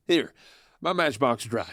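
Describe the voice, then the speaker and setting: A man's voice: medium pitch, a bit bright, a little hiss or hum. A man playing a cocky, sly outlaw in a Western audio drama, with a teasing, theatrical manner. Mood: persuasive. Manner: theatrical, teasing, flirtatious swagger. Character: cocky, sly male Western outlaw, smooth-talking schemer.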